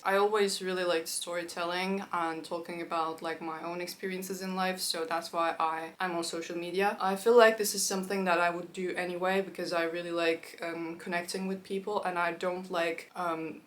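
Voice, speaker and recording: A woman of twenty-one from Turkey, a native Turkish speaker talking casually to camera with quick asides and frequent fillers. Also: on the low side, fairly bright tone. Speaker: YouTuber.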